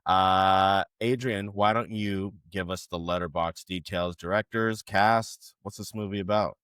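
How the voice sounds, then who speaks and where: Deep-voiced man hosting a film chat. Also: fairly even intonation, unhurried, fairly bright tone, smooth, no room sound. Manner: leads the show, reads out film credits, jokey. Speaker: podcast host. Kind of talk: casual film podcast chat.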